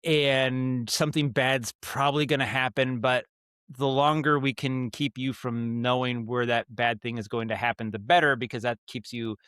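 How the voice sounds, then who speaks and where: A man with a middle-range voice talks as a guest in a casual interview. He explains things thoughtfully and haltingly, often restarting to correct himself. The sound is dry, with very little room on it. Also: medium pitch, steady tone, fairly bright tone, clean tone, no room sound. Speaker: guest, audio drama writer and director. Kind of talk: casual podcast interview talk.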